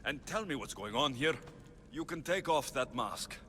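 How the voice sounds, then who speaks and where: An actor playing a wry, confident, battle-hardened mercenary in a video game, with dry sardonic delivery. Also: male voice, mid-range voice, animated, normal speed, pushed and bright, slight background noise. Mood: irritated, demanding. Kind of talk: video game character acting.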